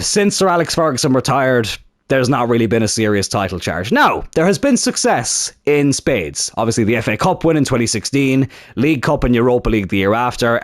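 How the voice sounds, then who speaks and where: A man's voice: mid-range voice, dry recording, clean studio sound. A young man talking enthusiastically and casually to his channel's viewers about a football video game. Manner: enthusiastic and chatty. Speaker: gaming YouTuber.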